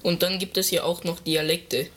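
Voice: medium pitch, flat delivery, normal speed, fairly bright tone, slight background noise. An interviewer who asks short, formal questions in German.

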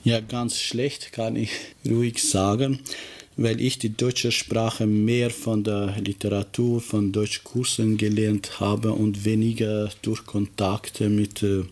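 A middle-aged man from Kosovo speaks German with an Albanian accent, his first language showing through. His voice sits low and flat, and he answers at an unhurried pace with frequent pauses as he works out each phrase. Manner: slow, measured, pausing speech with careful phrasing. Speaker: teacher and interviewee. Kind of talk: interview answers.

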